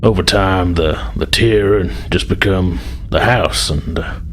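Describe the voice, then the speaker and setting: Man as a weary, low-voiced castaway. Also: unhurried, slight background noise. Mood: resigned, apologetic. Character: weary middle-aged male castaway explaining his hard life.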